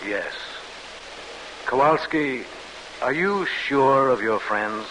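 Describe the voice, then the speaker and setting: An actor in an old-time radio drama playing a stern secret commander of prison camp escapes, grave and authoritative, on a hissy vintage recording. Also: male voice, medium pitch, lively intonation, slow pace, fairly bright tone, rough recording. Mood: firm.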